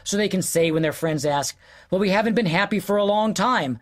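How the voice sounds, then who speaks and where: An actor playing an exasperated, sarcastic husband in an audio drama, hurt and profane in a late-night argument. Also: male voice, high-pitched, a little fast, lightly acted. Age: 40s.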